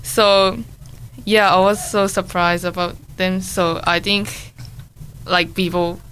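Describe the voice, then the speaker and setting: A woman from Japan whose first language is Japanese, a student answering interview questions in simple, halting English. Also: on the low side, little pitch movement, a bit bright, slight background noise, rough recording. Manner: simple halting phrasing, laughs easily.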